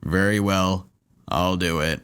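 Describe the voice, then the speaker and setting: An actor playing a reluctant, overworked robot leader in an audio drama, dry and reserved. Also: male voice, deep voice, fairly even intonation, takes it slowly, a bit bright, smooth.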